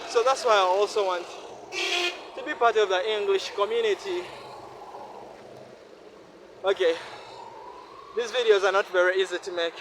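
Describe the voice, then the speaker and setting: A man from Uganda whose first language is Luganda, talking in an earnest, defiant and repetitive vlog monologue while filming on a city street. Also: high-pitched. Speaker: vlogger. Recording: street noise behind.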